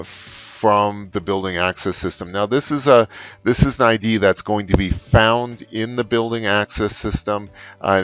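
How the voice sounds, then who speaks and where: A middle-aged man explains software step by step as an unscripted tutorial, with frequent hesitant pauses. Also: low-pitched, clean tone, lo-fi sound. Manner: halting, frequent filled pauses. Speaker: trainer, tutorial presenter. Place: office room indoors.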